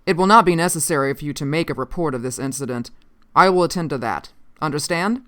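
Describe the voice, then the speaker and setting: A narrator as a brisk, skeptical commanding officer. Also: medium pitch, fairly bright tone, natural voice. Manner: formal, clipped.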